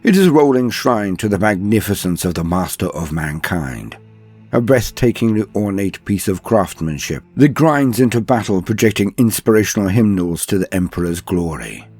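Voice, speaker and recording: Deep, husky man narrating with grandiose drama. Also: expressive, moderate pace, darkish tone, soft background music. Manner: theatrical, solemn, grandiose. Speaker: host and narrator of a lore show.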